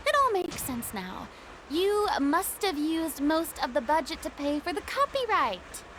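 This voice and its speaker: A woman's voice: high-pitched, big pitch swings, unhurried, background noise. A woman voicing a vain, theatrical young star who talks in a haughty, dramatic, self-important way, in a video game. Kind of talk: game voice acting. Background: game music.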